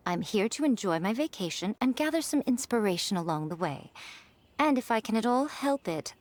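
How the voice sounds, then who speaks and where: A woman's voice: mid-range voice, close to an everyday voice. A woman playing a poised, sly, teasing noble shrine maiden in a video game, with elegant, wry, formal phrasing. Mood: coolly dismissive.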